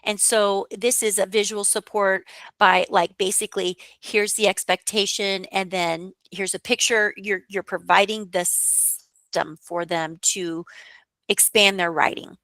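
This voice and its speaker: A woman's voice: mid-range voice, fairly even intonation, unhurried, bright, forceful tone, dry recording, low-quality recording. A woman hosting a podcast, asking guests questions and summing up their points. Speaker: podcast host.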